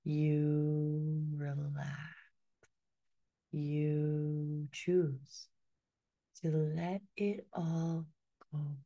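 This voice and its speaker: A middle-aged woman guiding a hypnosis session in a slow, soothing way, often sinking into a soft whisper. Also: deep voice, flat delivery, highs cut off.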